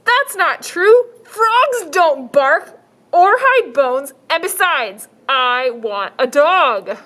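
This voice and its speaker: A woman's voice: sits high, lively intonation, extremely slow, pushed and bright, voice pushed up, heavily acted. A woman voicing a stubborn little girl who firmly insists she wants a dog. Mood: stubborn insistent. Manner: firm, insistent, childlike.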